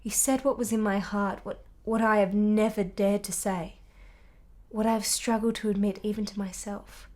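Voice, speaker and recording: A woman playing a candid young wife who yearns for romance in a radio drama, speaking in measured, articulate, intense phrases. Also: mid-range voice, steady tone, darkish tone, lightly acted. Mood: yearning.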